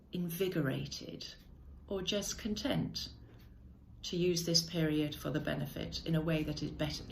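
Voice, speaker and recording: A middle-aged woman speaking in a measured, reflective monologue, often pausing with "uh" and correcting herself. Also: lowish voice. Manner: measured, thoughtful, with frequent "uh" and self-corrections. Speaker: podcast host.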